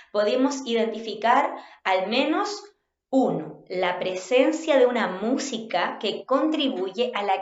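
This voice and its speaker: A woman with a Chilean accent giving an instructional talk as a workshop facilitator, in a measured, inviting way. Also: fairly high pitch. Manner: measured, inviting, checks understanding. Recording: roomy, slightly dull home recording.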